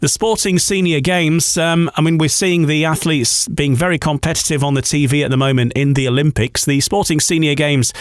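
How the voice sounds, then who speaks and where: A man's voice: fairly high pitch, steady tone, normal speed, hardly any pauses. A man hosting an informal radio interview, chatting and responding approvingly to his guest.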